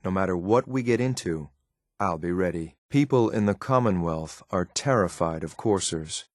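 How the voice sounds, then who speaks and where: Deep, deadpan synthetic soldier. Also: male voice, moderate pace, no room sound, studio quality. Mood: cold, disapproving. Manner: clipped, deadpan, military formality. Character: stern, loyal synthetic courser soldier, cool and lethal. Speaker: combat companion in a video game. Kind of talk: scripted video game voice lines.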